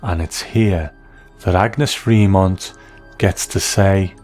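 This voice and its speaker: A man with a low-pitched voice narrates a scripted television review as its host. His phrasing is measured and reflective, with music playing underneath. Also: a little mellow.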